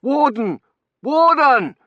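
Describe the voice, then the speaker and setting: A man's voice: very high-pitched, very animated, slow, no room sound, lo-fi sound, voice pushed up, exaggerated voice. A man voicing an urgent young man in an audiobook, calling out with a raised, pressing voice. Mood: alarmed shouting. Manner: urgent, shouting. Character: urgent young man with moustache searching amid bombing.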